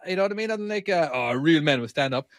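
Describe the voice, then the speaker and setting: Truck-driving podcast co-host from Ireland, rambling and swearing, raised pitch, dry sound. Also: male voice, animated, fast talker, no echo. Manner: rambling, swears a lot, many restarts. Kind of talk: casual podcast chat.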